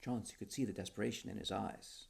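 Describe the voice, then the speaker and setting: A man who is an author reading his own short story aloud in a dramatic storybook style, with a mellow voice. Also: fairly low pitch, fairly even intonation, fast, vocal fry.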